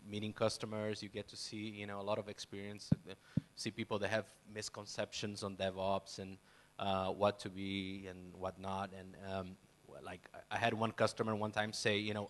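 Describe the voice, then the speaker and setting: Flat low-voiced man. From Brazil. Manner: hesitant, many fillers and restarts. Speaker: panelist, software company CEO. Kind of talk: conference panel self-introduction. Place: conference stage.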